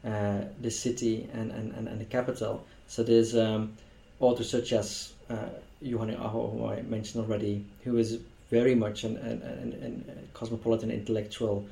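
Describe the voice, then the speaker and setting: A man from Belgium whose first language is Dutch, speaking as a literary scholar in an interview, pausing often with fillers and restarts. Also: lowish voice, flat delivery, creaky, warm tone. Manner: hesitant, many fillers and restarts. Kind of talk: interview answer. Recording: echoey room.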